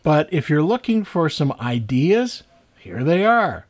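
Slow-paced older man presenting. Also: fairly high pitch, sing-song. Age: 60s. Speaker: author and teacher presenting his book. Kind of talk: scripted presentation to camera.

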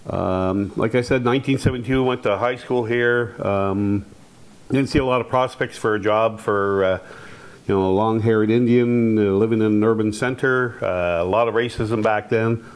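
A man in his fifties from Quebec whose first language is Algonquin, telling his life story on a panel in a relaxed way, with many pauses, into a lapel mic. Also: on the low side, clear, resonant tone. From Quebec, Canada. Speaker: panel speaker. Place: hall with curtained stage, audience present.

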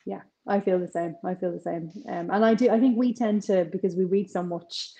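A woman in her forties with a North Side Dublin accent, a crime author chatting over a video call from her kitchen. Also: mid-range voice. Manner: chatty, animated, quick asides. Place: home kitchen with shelves.

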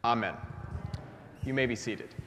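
A man in his thirties preaches in a large church, his voice a little congested from a lingering cold. He talks in a relaxed, conversational way, full of ums and restarts, into a pulpit microphone. The sound trails off into a long reverb from the stone walls. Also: mid-range voice, measured pace, a little hiss or hum. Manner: conversational, many fillers and restarts. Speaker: priest. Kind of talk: sermon. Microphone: gooseneck pulpit mic.

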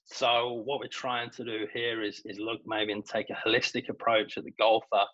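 A British man, a golf coach, giving a measured webinar presentation over a video call, with some hesitations. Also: lowish voice, level tone, a bit bright, dull audio. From Britain.

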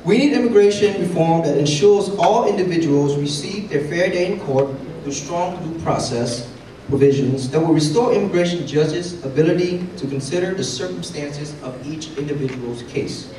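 A man's voice: a little high, moderate pace, dark tone, slight background noise. Young man, earnest prepared speech, distant and echoey. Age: young adult. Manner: earnest, reads from notes. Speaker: community speaker. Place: large meeting hall, indoors. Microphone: handheld mic, far camera. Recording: echoey hall, recorded from afar.

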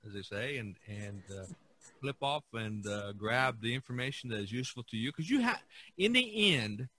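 A man's voice: mid-range voice, lively intonation, normal speed, sharp tone. A man hosting a podcast, chatting casually in a rambling, emphatic way. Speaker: podcast host.

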